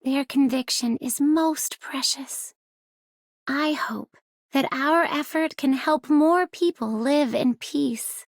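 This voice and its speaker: An actress playing a gentle, solemn, idealistic woman in an anime game, speaking reflectively. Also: high voice, takes it slowly, dry recording, studio quality. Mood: solemn, hopeful. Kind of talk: scripted game character lines.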